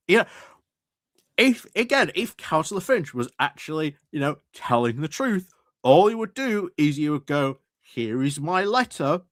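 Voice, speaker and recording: A man's voice: a little high, big pitch swings, measured pace, no echo, studio quality. A man doing live reaction commentary over council footage, sarcastic and laughing at what he hears.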